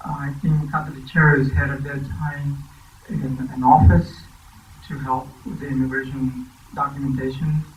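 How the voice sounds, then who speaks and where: Flat-voiced man in an echoey hall. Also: medium pitch, moderate pace, highs cut off, a little hiss or hum. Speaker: symposium panelist. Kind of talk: personal testimony. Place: church hall. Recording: echoey church hall from far off.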